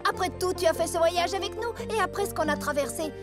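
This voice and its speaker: A woman's voice: extremely high pitch, quick pace. A woman voicing a sincere, down-to-earth young female farm pony in a French cartoon dub, earnest and plain-spoken. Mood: reassuring, firm. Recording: loud music behind.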